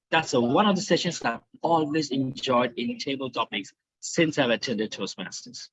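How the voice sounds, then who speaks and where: Middle-aged man from India, husky voice, earnest storytelling trainer, dull online-call sound. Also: on the high side, fairly bright tone, dry recording. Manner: earnest, storytelling with rising emphasis. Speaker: guest speaker. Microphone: video call.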